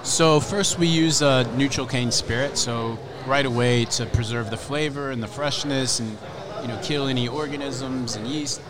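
A man with a medium-pitched voice explains his product, stopping often with fillers and restarting his sentences. He talks at an ordinary pace. A loud crowd chatters behind him at an outdoor event. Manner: halting, many fillers and restarts. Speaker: founder of a spirits brand. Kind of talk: casual interview.

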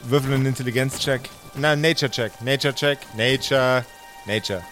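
A man's voice: medium pitch, steady tone, fairly quick, fairly bright tone. A man narrating an improvised tabletop roleplay podcast as game master, playful and joking. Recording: music playing behind the voice. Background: faint music.

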